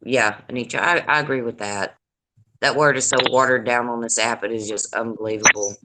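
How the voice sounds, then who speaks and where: An older woman with a deep raspy voice and a Deep Southern accent, hosting a casual livestream chat in a blunt, profane, gossipy way. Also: steady tone, fairly quick. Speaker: livestream host. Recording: noisy livestream audio.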